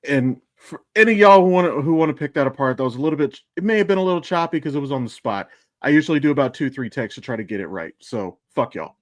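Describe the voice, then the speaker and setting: A man's voice: medium pitch, animated, fast, no echo. An American man co-hosting a podcast in casual, rambling, sweary chat. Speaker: podcast co-host and voice actor.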